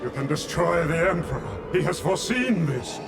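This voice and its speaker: An actor playing a menacing, commanding masked villain and father in a radio drama, speaking slowly and imperiously. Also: on the high side, sing-song, gravelly, background noise. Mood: menacing, persuasive. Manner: slow, imperious, deliberate. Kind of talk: 1980s radio drama acting. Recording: loud orchestral music and effects behind. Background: loud film-score music.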